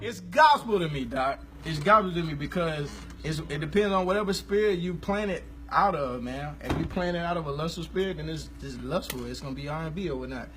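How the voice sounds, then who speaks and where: A man, hosting a casual live stream about gospel music, talking in a relaxed, rambling, slangy way. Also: on the high side, a little fast, hardly any pauses. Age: 20s.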